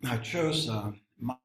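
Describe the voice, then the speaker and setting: A man's voice: medium pitch, drawn-out words. A man taking part in a service as a poetry reader, speaking to those gathered in an informal, literary way. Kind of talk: informal introduction and poem reading. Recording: echoey room.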